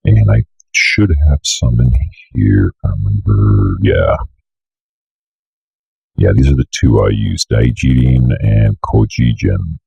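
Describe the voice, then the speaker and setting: Very deep, gravelly man with a cold. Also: slow, a little mellow, dry recording, muffled recording. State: has a bit of a cold. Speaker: software tutorial presenter. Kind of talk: casual screen-recorded walkthrough. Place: indoor room at a computer desk.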